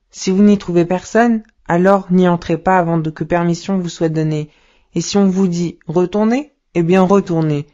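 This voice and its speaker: A woman voicing a patient, wise father who gently explains things to his son in a cartoon, on dull lo-fi audio. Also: on the low side, lightly acted.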